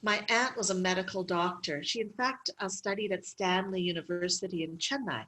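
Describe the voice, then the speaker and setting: Middle-aged woman guest, a medical clown; lively, chatty anecdotes with laughter. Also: lowish voice, moderate pace, poor audio. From Canada. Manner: lively storytelling with frequent laughs and fillers. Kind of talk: interview conversation.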